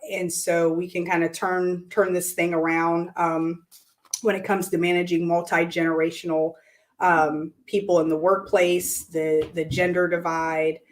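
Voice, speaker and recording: A hoarse woman with a lowish, raspy voice hosts her weekly show in casual, upbeat talk. She fills her speech with ums and restarts. She talks from a room indoors by a window. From United States. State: hoarse from lost voice.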